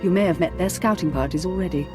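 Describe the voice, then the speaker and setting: A woman's voice: on the low side, fast, close to an everyday voice. A woman playing a stern, calculating governor in a video game, speaking formally and with clipped command. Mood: cool, briefing. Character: stern, calculating Imperial governor briefing an agent. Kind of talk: video game voice acting, briefing. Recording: loud music under the voice.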